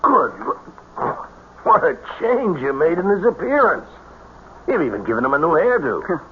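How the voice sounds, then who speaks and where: Casting: eager con-artist sidekick, animated delivery, old radio drama, tinny sound. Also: male voice, on the high side, normal speed, slight background noise. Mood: impressed. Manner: excitable, impressed, questioning. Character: eager male accomplice in a séance swindle.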